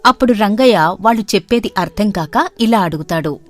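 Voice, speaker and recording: A woman storyteller narrating a comic bedtime story in a steady, plain way. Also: medium pitch, a little fast, faint music behind.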